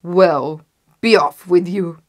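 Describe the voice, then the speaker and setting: Woman voicing a loud, roaring ugly troll. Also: on the low side. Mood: gruff, dismissive. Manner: roaring, menacing, drawn-out. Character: great ugly hungry troll roaring under bridge.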